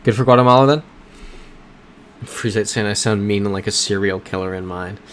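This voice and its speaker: A man's voice: fairly low pitch, a little fast, a little hiss or hum. An American man streaming a map-guessing game, reading viewers' messages aloud and talking through his guesses. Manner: reads chat messages aloud and reasons through map clues. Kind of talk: casual live-stream chat.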